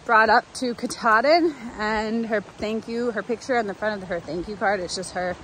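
A young adult woman with a medium-pitched voice talks casually and rambles a little, as in a personal video diary. It is recorded on a handheld phone outdoors, with faint ambient noise behind her. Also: normal speed, fairly bright tone, low-quality recording. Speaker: vlogger hiking a long trail. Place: outdoors in woodland. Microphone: phone at arm's length.

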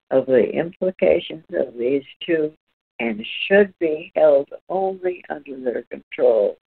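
A man with a slightly high voice speaks very slowly, breaking his sentences into deliberate pieces as he reads and reflects. The sound is narrow and thin, like a phone line. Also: vocal fry, breathy edge. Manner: halting, deliberate phrasing with frequent breaks. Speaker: presenter reading aloud and discussing. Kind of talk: measured reading aloud from a spiritual book, then discussion.

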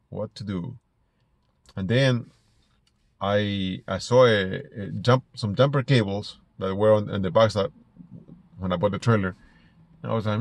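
A middle-aged man from Ecuador with an Ecuadorian Spanish accent, telling stories casually to camera in a halting way, repeating words as he goes. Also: on the low side, gravelly, no room sound. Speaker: small business owner vlogger. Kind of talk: casual personal storytelling to camera. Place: inside a parked car.

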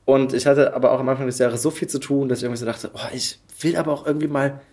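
A man's voice: mid-range voice, steady tone, rapid-fire, a little mellow. A man hosting a casual podcast chat, speaking informally and thinking aloud in loose sentences. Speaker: podcast host.

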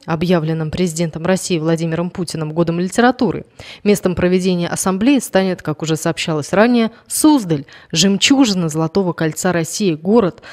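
A woman reading a scripted news voice-over in a crisp, announcer-like way. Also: fairly low pitch, darkish tone. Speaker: news narrator.

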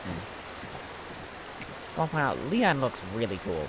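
A man's voice: medium pitch, wildly swooping pitch, measured pace, background noise. A young man giving casual, joking commentary while playing a video game. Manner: joking, rambling, wry asides. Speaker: let's play commentator.

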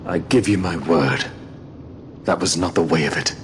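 An actor playing a grave, earnest middle-aged army captain in a video game, speaking solemnly and formally. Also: male voice, deep voice, normal speed, slight background noise, close to an everyday voice. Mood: solemn, remorseful. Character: grave, earnest male army captain, wrongly branded traitor. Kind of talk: video game cutscene acting.

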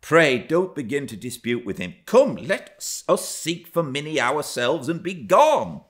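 A man's voice: fairly high pitch, very animated, a bit bright, voice pushed up. A man voicing a protective, sensible housekeeper woman in an audiobook story, prim and admonishing. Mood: scolding. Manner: brisk, admonishing.

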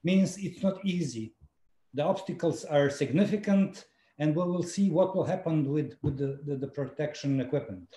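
Measured middle-aged man on a call. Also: a little high, dark tone. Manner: measured, hesitant, many fillers. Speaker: surgeon panelist. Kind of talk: panel discussion over video call. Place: home study with bookshelves. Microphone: video call, computer mic. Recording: echoey room on a video call.

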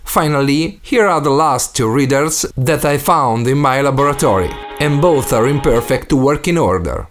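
Lively Italian-accented man narrating. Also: medium pitch, moderate pace, hardly any pauses, over music. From Italy. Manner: non-native English phrasing. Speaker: DIY video maker and host. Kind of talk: scripted tutorial voice-over.

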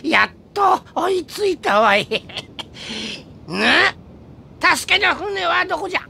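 A woman playing a doddering old-timer in an anime audio drama, with old-fashioned elderly phrasing and drawn-out exclamations. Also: extremely high pitch, big pitch swings, gravelly, sharp tone, a little hiss or hum, exaggerated voice. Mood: flustered.

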